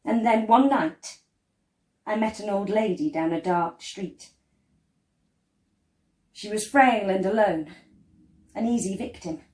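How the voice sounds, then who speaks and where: Female storyteller, unhurried and expressive, measured dramatic delivery, mid-range pitch, roomy echo. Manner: measured, deliberate, with dramatic emphasis and pauses. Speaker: storyteller reading a picture book. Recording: roomy, echoey indoor sound.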